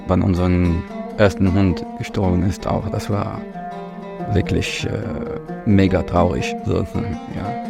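A Palestinian musician, answering interview questions in halting German and searching for words. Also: male voice, sits low, gravelly. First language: Arabic. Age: 30s. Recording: music playing behind.

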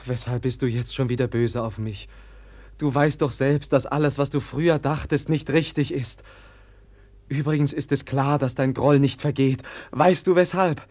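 A man acting a gentle, naive young prince in a German radio play, halting and soft-spoken, with sincere self-deprecation. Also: medium pitch, steady tone, lightly acted. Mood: earnest, defensive.

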